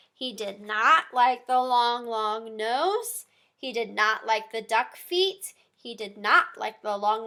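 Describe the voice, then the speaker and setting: A woman reading a story aloud to young children in an expressive, encouraging manner, pausing to chat with the listeners. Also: sits high. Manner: animated, encouraging, asks listeners simple questions.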